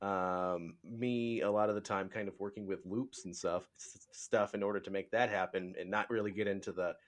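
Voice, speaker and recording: A man hosting a podcast in casual interview chat, speaking haltingly and rambling as he searches for words. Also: low-pitched, steady tone. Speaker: podcast host.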